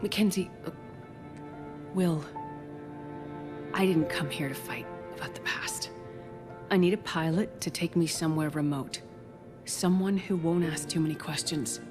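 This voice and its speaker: A low-voiced, determined doctor. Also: female voice, a little slow, pauses often. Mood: urgent. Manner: urgent, firm, evasive. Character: determined woman doctor, urgent and secretive. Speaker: game character. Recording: game cutscene over loud music.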